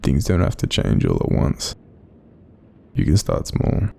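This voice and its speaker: An Australian man playing a kind sleep paralysis demon in a roleplay audio, speaking gently and informally with a gravelly, breathy voice to soothe and reassure. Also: rumbling low, huge pitch swings, a little slow, exaggerated voice. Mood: soothing, reassuring. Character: kind, supportive male sleep paralysis demon watching over someone.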